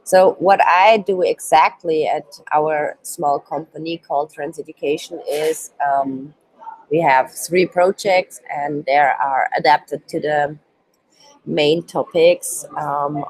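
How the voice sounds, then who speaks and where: A woman's voice: sits low, fairly even intonation, a little slow, husky, low-quality recording. A woman in her twenties who is not a native English speaker gives a live online training presentation in hesitant English full of ums, while she is sick. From Switzerland, Turkish roots. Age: 20s. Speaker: youth work trainer.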